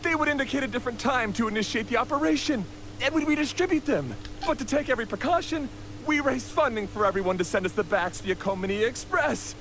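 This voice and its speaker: A man's voice: very high-pitched, quick pace, fairly bright tone, slight background noise. An actor playing a nervous, contrite male bandit explaining himself in a video game. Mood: anxious, explaining.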